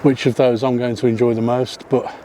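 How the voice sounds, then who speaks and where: A man's voice: mid-range voice, flat delivery, brisk, mellow, a little hiss or hum. A man narrating a casual photography vlog while walking on a hillside path, chatty, with frequent ums and self-corrections. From not Welsh. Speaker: photography vlogger. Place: outdoors on a hillside path above a seaside town.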